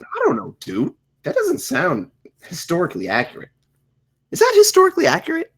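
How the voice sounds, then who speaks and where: A woman playing a slow-witted, earnest slacker dude who cleans pools, in a comedy table read. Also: mid-range voice, wildly swooping pitch, creaky, husky, exaggerated voice. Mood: earnest, clueless. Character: dim, laid-back slacker dude pool cleaner.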